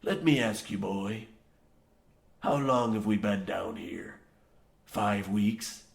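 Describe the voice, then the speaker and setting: An actor playing a grizzled, half-mad old coal miner, boastful and ominous, rambling theatrically in rustic archaic phrasing in a comic old-time radio horror play. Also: male voice, on the low side, slow pace, vocal fry. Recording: roomy, echoing sound.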